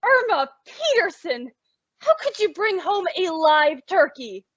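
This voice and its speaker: A woman's voice: extremely high pitch, big pitch swings, a little slow, a bit bright, dull audio, heavily acted. A woman playing a sensible young roommate pushed to exasperation, emphatic and dramatic, in an old-time radio comedy. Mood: exasperated.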